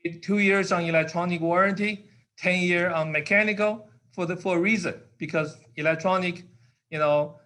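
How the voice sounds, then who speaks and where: An older man from Taiwan speaking English with a Taiwanese accent, in simple, halting sentences with fillers, as an interview guest. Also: sits high, steady tone, bright, forceful tone. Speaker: guest, company founder.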